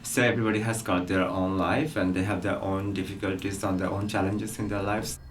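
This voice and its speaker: A deep-voiced man speaks earnestly, pausing now and then. He sits at some distance from the mic, and a faint ambient noise runs beneath his voice. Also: flat delivery. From Bangladesh. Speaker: interviewee. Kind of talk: documentary interview.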